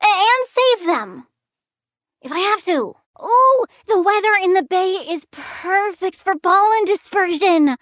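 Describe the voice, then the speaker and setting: A woman's voice: very high-pitched, lively intonation, slow, a bit bright, dry recording, clean studio sound, heavily acted. A woman playing a bratty, morbid young girl plant villainess in a video game, with a childlike, sassy manner. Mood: gleeful, eager. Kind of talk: video game character voice acting.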